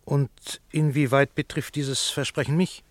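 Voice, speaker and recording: A man's voice: mid-range voice, flat delivery, measured pace, dry recording, natural voice. An actor playing a proud, guarded wealthy father with a guilty secret, curt and formal in a radio drama. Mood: cold, guarded. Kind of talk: German radio drama acting.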